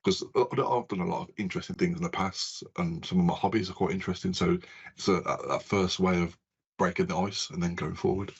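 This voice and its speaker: A man's voice: low-pitched, fairly even intonation, a little fast, creaky, slightly rough. A man hosting a podcast, chatting casually in a rambling, informal way. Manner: rambling, informal, repeats phrases. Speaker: podcast host. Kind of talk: casual chat. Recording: dull, lo-fi sound.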